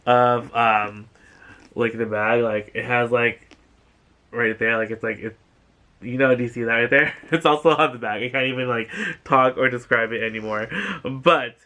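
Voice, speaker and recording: A man's voice: mid-range voice, sharp tone. A young man hosting a casual thrift haul vlog from a couch at home, gushing and rambling with lots of fillers. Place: living room couch indoors.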